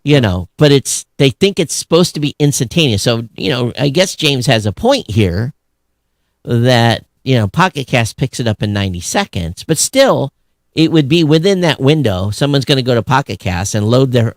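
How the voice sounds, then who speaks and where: A man's voice: medium pitch, dry recording. Man co-hosting a casual show, dry close sound. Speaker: podcast co-host. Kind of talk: casual talk-show commentary on tech news.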